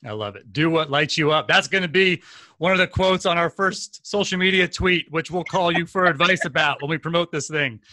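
A man's voice: a little high, fairly quick, sharp tone, no echo. A man in his forties hosting a podcast over a video call, enthusiastic and rambling with lots of fillers, at a desk mic at home. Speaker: podcast host. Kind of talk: casual podcast interview. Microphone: desk condenser mic, video call.

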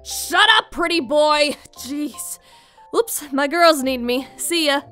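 Brash cartoon schoolgirl, high sharp voice, slow mocking drawl, animated. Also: soft background music, exaggerated voice. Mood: brash, irritated. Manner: mocking, blunt, sassy. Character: brash, teasing tough older high school girl. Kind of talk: animated roleplay voice acting.

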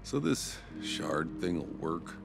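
Game lead: plain-spoken military man, lowish voice that moves expressively, casual and resolute. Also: sing-song, takes it slowly, vocal fry, full character voice. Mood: curious, businesslike. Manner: casual, direct, terse. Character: determined, plain-spoken male soldier hero. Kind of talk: video game cutscene acting. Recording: music under the voice.

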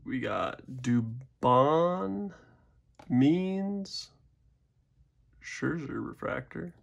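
Gravelly-voiced young man chatting casually. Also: medium pitch, animated, very slow, dull audio. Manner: chatty, rambling, self-deprecating asides. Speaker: card-opening channel host. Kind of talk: casual pack-opening commentary. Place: indoors at a desk.

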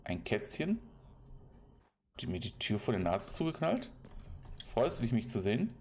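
A man's voice: medium pitch, huge pitch swings, moderate pace, darkish tone, a little hiss or hum. A German man giving casual, playful commentary while playing a game, chatting to the animals and villagers he meets. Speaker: let's play commentator.

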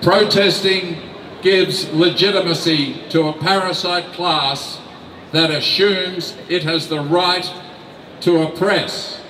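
Slow, emphatic older man outdoors. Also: fairly high pitch, steady tone, sharp tone, slight background noise. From Australia. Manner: slow, deliberate, emphatic reading from notes. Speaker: rally speaker. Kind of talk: reading a prepared speech. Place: outdoor city square. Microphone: mic on a stand. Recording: echoey outdoor sound from a distant camera.

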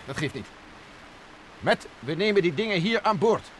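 A man's voice: high voice, animated, normal speed, fairly bright tone, a little hiss or hum, rough recording. An actor in a vintage radio play, playing a tense male general who gives urgent orders. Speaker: general leading the mission.

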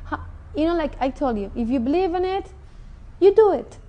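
A woman with a Mexican Spanish accent and a high yet mellow voice, talking earnestly and with feeling. She sounds middle-aged and speaks as a guest on a TV studio set. Her voice comes through close on a clip-on lapel mic. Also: animated, moderate pace. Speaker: interview guest. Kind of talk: personal testimony.

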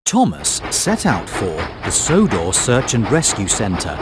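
Animated male children's game narrator over music. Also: fairly low pitch, measured pace. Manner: encouraging, clearly enunciated storytelling. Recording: music mixed under the voice.

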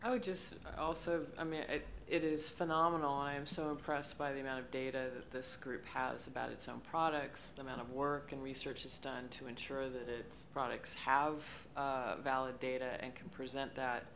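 A woman panelist talking in an animated, rambling way with bursts of laughter, on a conference stage at a table microphone. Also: extremely low pitch, moderate pace, hardly any pauses, slight background noise. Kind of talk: panel discussion.

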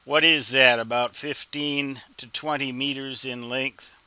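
A man in his sixties giving an informal explanatory tutorial, with deliberate, emphatic phrasing and rhetorical questions. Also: medium pitch, fairly even intonation, pushed and bright, clear, resonant tone, no room sound. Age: 60s. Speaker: instructor and ham radio hobbyist.

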